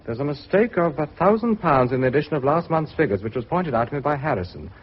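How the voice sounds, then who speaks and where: An actor playing a courteous, firm and precise company executive in a radio drama, with a businesslike way of talking. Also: male voice, a little high, lively intonation, husky. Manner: businesslike, measured in wording. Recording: muffled radio recording.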